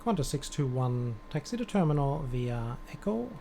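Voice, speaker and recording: A man playing air traffic controller on a live stream, giving measured procedural clearances with casual asides. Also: mid-range voice, sing-song, mellow.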